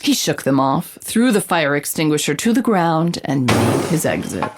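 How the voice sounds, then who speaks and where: Audio drama narrator: inquisitive woman reporter, lower-middle pitch, polished scene-setting delivery. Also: big pitch swings, normal speed, background noise. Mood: engaged, wry. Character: female roving reporter, curious, vivid storyteller. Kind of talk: scripted narration, news-report storytelling.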